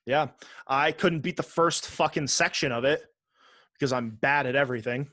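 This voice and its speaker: A man's voice: mid-range voice, normal speed, bright, forceful tone, dry recording, clean studio sound. A man co-hosting a podcast, chatting casually with some swearing as he runs through a ranked list. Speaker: podcast co-host. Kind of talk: casual chat, reading out a ranked list with commentary.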